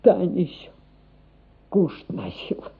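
An actress playing a humble, soft-hearted servant nanny in a radio play, with folksy peasant turns of speech. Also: female voice, fairly low pitch, big pitch swings, a little slow, vocal fry, husky, full character voice. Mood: subdued. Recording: muffled, phone-like radio play recording.

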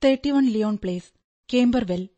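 Mellow, courteous young female client acted by a woman. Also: a little high, normal speed, lo-fi sound, close to an everyday voice. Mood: polite, composed. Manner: formal, precise, courteous. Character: polite, composed young female client of a detective. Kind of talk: radio drama acting.

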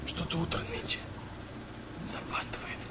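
An actor playing an anxious, superstitious young wartime sailor in a radio play, hesitant and often half-whispering. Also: male voice, moderate pace, lightly acted. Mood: uneasy. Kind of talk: Russian radio play acting.

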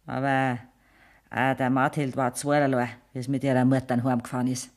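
A woman's voice: a bass voice, little pitch movement, normal speed, darkish tone. An actress playing the anguished middle-aged mother of an outlaw, telling her story in Bavarian dialect in a radio play. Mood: bitter, sorrowful.

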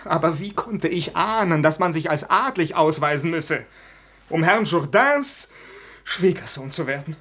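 An actor playing an earnest, plaintive young suitor in a 1960s German radio comedy. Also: male voice, a little high, expressive, a little slow, fairly bright tone. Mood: dejected.

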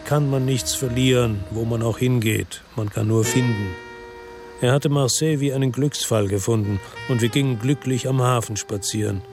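A man's voice: mid-range voice, lightly acted. An actor playing a brooding investigator who narrates his story in a radio drama. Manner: slow, somber narration. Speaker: first-person narrator.

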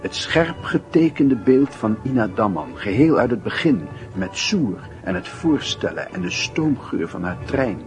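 A man's voice: medium pitch, animated, measured pace, few pauses, soft background music, lightly acted. A man narrating a literary radio drama in a solemn, introspective, dramatic manner. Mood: intense, wistful. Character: solemn storyteller of a lovesick youth's inner life.

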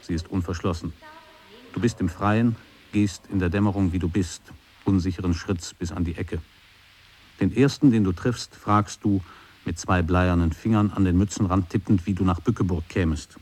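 Male radio-play narrator, husky and low, measured literary delivery. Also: a little mellow.

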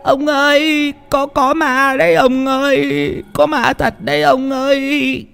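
A man's voice: very high-pitched, sing-song, a little slow, creaky, faint music behind, voice pushed up, heavily acted. A man voicing a frightened village woman of sixty, wailing, stammering and pleading in a ghost story. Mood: shaken.

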